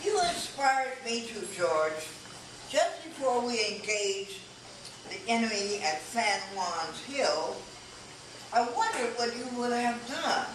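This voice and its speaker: A woman's voice: fairly high pitch, expressive, slow pace. A woman playing a proud, plainspoken male soldier-president in a readers' theater stage reading. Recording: echoey room, distant mic, noisy.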